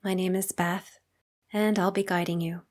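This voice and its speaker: Calm woman guiding a meditation. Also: fairly low pitch. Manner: calm, unhurried, soothing delivery with long pauses. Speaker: guided meditation teacher. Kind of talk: guided meditation narration.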